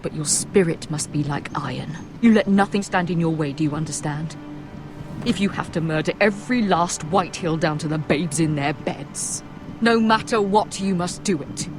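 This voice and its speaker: A woman's voice: on the low side, normal speed, natural voice. An actress playing a middle-aged noblewoman and mother in a fantasy game, intense, solemn and steely. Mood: fierce urging. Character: fierce, embittered noble mother, steely and vengeful. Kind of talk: dramatic video game acting. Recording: music behind the voice.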